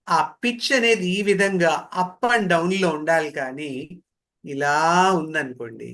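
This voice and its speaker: A man's voice: on the high side, bright, forceful tone. A man giving an interactive training lecture in a didactic way, posing questions and repeating key phrases. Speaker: voice modulation trainer.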